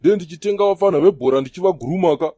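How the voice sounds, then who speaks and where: A man's voice: sits high, rapid-fire, warm tone, no echo, clean studio sound. A man voicing a preachy older Zimbabwean prophet in a comedy cartoon, holding forth to the younger men like an elder. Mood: grandiose. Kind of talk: comedy cartoon dialogue in Shona mixed with English.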